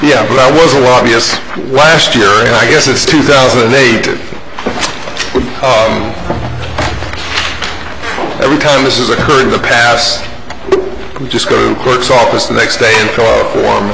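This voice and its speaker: A man's voice: medium pitch, fairly even intonation, moderate pace, gravelly, pauses often. An older man, a lobbyist, speaking politely but a bit put out at a podium during a council meeting. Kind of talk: public comment at a council meeting. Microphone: podium microphone. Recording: echoey chamber with hiss.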